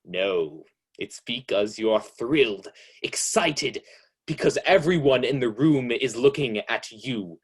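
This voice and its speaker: A man performs an ominous male narrator from an old-time radio mystery. His voice is mid-pitched and a little husky. He taunts his guilty listener with sardonic, deliberate accusation. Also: animated. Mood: sinister, taunting.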